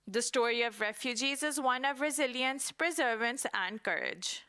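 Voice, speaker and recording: A woman correspondent narrating a scripted news report in a measured voice-over. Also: a little high, pushed and bright, clear, resonant tone.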